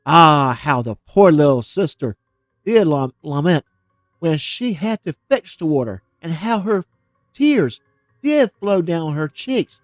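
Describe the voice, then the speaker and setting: A man reading a fairy tale aloud as a storyteller, expressive, with small slips and restarts. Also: a little high, takes it slowly, no room sound, lo-fi sound, faint music behind.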